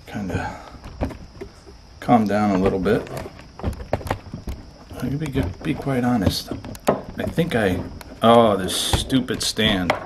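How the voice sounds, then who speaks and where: A middle-aged man with a Southern US accent, filming himself alone at night in an abandoned wooden shed and talking to his viewers in a hushed, jittery way. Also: lowish voice, takes it slowly, pauses often, background noise. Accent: Floridian American. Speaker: paranormal explorer vlogger. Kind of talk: solo ghost-hunting vlog.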